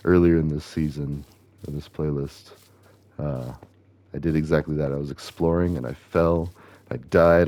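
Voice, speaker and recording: A man with a gravelly voice, chatting casually and rambling as he plays a video game, often correcting himself. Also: extremely low pitch, dark tone, dry recording. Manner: chatty, meandering, self-correcting. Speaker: gaming video host. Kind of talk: casual rambling commentary while playing.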